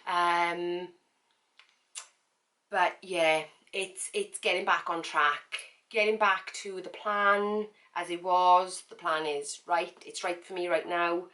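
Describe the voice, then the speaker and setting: A woman talks straight to her viewers in a casual vlog, her voice a little croaky and pitched on the low side. She chats frankly and loosely, circling back with fillers and repeated words. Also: fairly even intonation, takes it slowly, sharp tone. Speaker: vlogger. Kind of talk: casual talk to camera.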